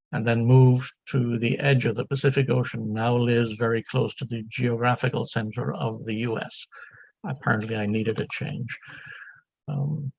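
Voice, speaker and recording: An older man from Dublin with an Irish accent, answering interview questions in a halting way with many ums and wry asides. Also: medium pitch, gravelly, darkish tone, breathy edge, no echo, rough recording. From Ireland. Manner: halting, frequent ums, self-deprecating asides. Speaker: guest, software consultant.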